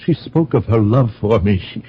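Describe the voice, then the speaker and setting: A man playing an anguished, bewildered lover in a radio drama, halting and impassioned, with a husky voice. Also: on the low side, lively intonation. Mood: pleading, confused. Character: anguished, bewildered lovestruck man, well-born. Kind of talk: 1970s radio drama acting. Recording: dull old radio recording.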